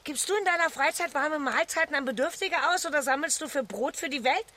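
A woman's voice: sits high, a little fast. An actress in a radio drama playing a stressed, indignant mother in her forties, reproachful and sarcastic. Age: 40s. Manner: reproachful, sarcastic, sharp-tongued.

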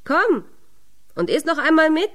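A woman voicing a gruff, hearty miller, a married man, in an exclamatory storybook reading. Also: high voice, very animated, measured pace, fairly bright tone, pitched above the performer's own voice, heavily acted. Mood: eager.

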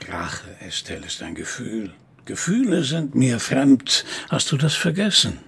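An actor playing a menacing, manipulative grandfather in a radio crime drama, speaking deliberately, coaxing and then commanding, with a rough, husky voice. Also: mid-range voice, sing-song, a bit bright, full character voice. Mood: fanatical, stern. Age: older. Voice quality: husky, gravelly. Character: menacing, manipulative grandfather, a cold zealot preaching purity.

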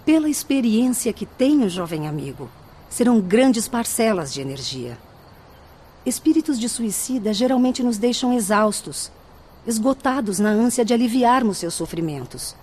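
A woman's voice: on the high side, big pitch swings, normal speed, a little mellow, slightly rough. A woman with a Brazilian accent playing a weary, experienced female medium's spirit in a radio drama, cautious and formal.

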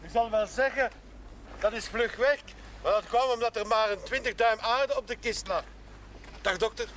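A folksy country policeman in a seventies TV drama. He is high-pitched, self-assured and officious, with faint noise behind him. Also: moderate pace, a bit bright. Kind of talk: 1970s television drama acting. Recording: faint noise on old TV audio.

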